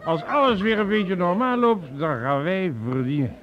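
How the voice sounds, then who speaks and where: An actor playing a jovial, boastful, tipsy schemer out to profit from war in a radio play. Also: male voice, sits high, big pitch swings, moderate pace. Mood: confiding, excited. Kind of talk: Dutch radio play acting. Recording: dull radio play, crowd behind.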